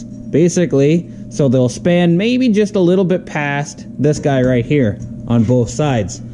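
A man with a mellow, dark voice walks through his build step by step. His talk is relaxed and plain. There is a faint hum of noise around him in the workshop. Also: a little high. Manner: relaxed, explains steps as he goes. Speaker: garage builder showing a project. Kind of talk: casual how-to workshop vlog. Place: large indoor workshop garage. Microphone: handheld or body-worn action camera.

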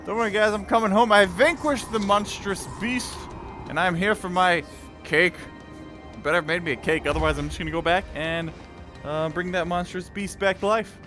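A man's voice: high-pitched, steady tone, moderate pace. A man giving playful, casual commentary as he plays a video game. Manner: playful, muttering reactions and exclamations. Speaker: gaming video host. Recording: game music behind.

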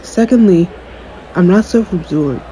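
A man's voice: sits high, measured pace, dark tone, slight background noise, rough recording. A man playing a cocky, jaded young superhero in an audio roleplay, talking in a dry, sarcastic, aloof way. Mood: aloof, smug. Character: jaded, cocky young male superhero, dismissive of his fan. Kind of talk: audio roleplay acting, one-sided conversation.

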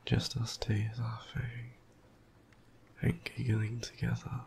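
A tired young man with a croaky voice, softly whispering an affectionate boyfriend roleplay close to the mic. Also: medium pitch, completely flat delivery, takes it slowly, a little hiss or hum. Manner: soft, affectionate whispering with quiet laughs. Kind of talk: ASMR roleplay.

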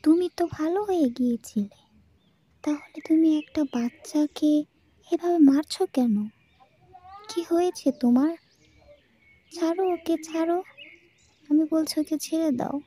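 A woman's voice: very high-pitched, unhurried, long pauses, warm tone. A woman voicing a concerned, firm village woman in a cartoon, pleading and insistent. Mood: alarmed, firm.

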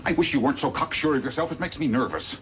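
An actor playing a scheming, sardonic villain boss in a 1950s radio western, insinuating and bossy. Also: male voice, fairly high pitch, quick pace, fairly bright tone, close to an everyday voice. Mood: impatient. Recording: noisy vintage 1950s radio recording.

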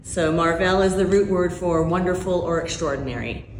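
A woman's voice: on the low side, normal speed, a little hiss or hum. A middle-aged woman from Hawaii, a winery co-owner, talking enthusiastically to the camera. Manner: enthusiastic, descriptive. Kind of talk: promotional to-camera talk. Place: tasting room at a wooden bar. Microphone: camera a few feet away. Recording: roomy indoor echo.

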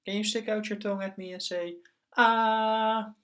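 A man's voice: sits high, steady tone, moderate pace, fairly bright tone, pitched above the performer's own voice. A man voicing a giggly, curious little girl piglet in a children's storybook, playful and childlike. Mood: playfully bossy.

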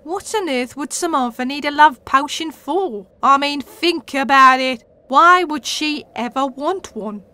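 A woman's voice: high-pitched, a little slow, hardly any pauses, sharp tone. A British woman playing a sarcastic, bossy, impatient magical female character in a comedy audio drama, brash and slangy. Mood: exasperated.

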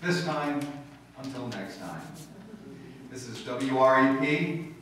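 A man's voice: mid-range voice, expressive, slow pace. Male stage announcer, very echoey hall. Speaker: announcer of a live radio-style show. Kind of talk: formal old-time radio sign-off. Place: stage in a hall before an audience. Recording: distant echoey hall with faint noise.

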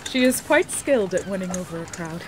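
A woman playing an earnest, high-minded young woman in a fantasy audio drama, speaking formally in measured, archaic phrases. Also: expressive, background noise, lightly acted. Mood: solemn, earnest.

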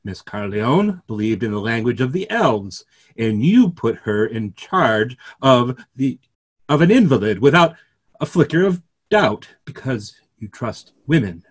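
A middle-aged man acts out an earnest clergyman in a stage play reading. His voice is husky and mid-pitched. He argues in wordy, rhetorical turns with gentle irony. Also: very animated, a little slow. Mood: ironic, arguing. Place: small room at home, video call.

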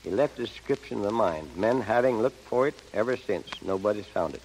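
A man's voice: on the low side, animated, brisk, a little mellow. An older man speaking in a folksy, plainspoken way as a guest in a scripted 1930s radio interview. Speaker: gold prospector guest. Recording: noisy, echoey 1930s radio broadcast.

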